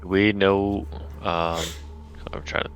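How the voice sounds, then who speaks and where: Very deep, gravelly man, casual. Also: steady tone, slow. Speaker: tabletop game player. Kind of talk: casual chat. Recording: dull lo-fi mic, background noise.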